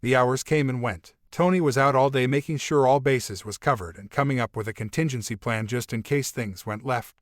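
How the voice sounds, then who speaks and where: A man tells a scripted story with colloquial phrasing. His voice is medium in pitch and recorded very dry. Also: fairly quick, hardly any pauses, no echo. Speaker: storyteller, narrator.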